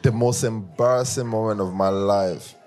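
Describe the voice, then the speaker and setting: A man from Nigeria preaching a sermon, telling humorous personal stories in a lively way that rises into sing-song emphasis. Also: lowish voice, moderate pace, soft background music. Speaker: pastor.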